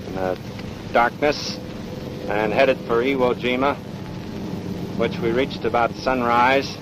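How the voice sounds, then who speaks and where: American male naval officer, formal measured interview delivery, clearly noisy 1940s field recording. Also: medium pitch. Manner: measured, matter-of-fact. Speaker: navy officer.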